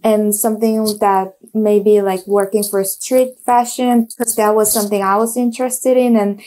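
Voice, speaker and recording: A young woman with a Korean accent speaks in a middle-pitched voice, telling her story in a relaxed, halting way. She fills pauses often and restarts her sentences. She is recorded over an earphone mic on a video call from a small home room. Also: poor audio. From South Korea. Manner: many fillers and restarts, searching for words. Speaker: guest, designer. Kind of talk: casual interview chat.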